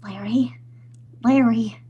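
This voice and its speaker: A woman's voice: on the high side, fairly even intonation, slow pace, mellow, husky, lo-fi sound, exaggerated voice. A woman playing a nervous, easily spooked young wife in an old-time radio comedy, anxious and wryly pleading. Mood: uneasy, pleading.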